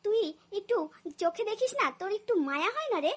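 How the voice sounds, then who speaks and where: A woman's voice: extremely high pitch, expressive, fast talker, fairly bright tone. A woman voicing a stern, exasperated older mother-in-law in a cartoon comedy, nagging with blunt sarcasm. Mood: scolding, exasperated. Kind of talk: cartoon family comedy dialogue.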